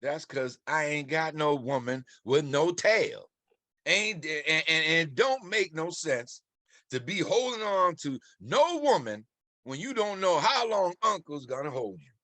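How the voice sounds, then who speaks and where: A man's voice: fairly high pitch, very animated, a little slow, pushed and bright, no room sound, full character voice. A man acting a guarded, plain-spoken young World War II soldier in a live screenplay read. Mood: defensive, wry.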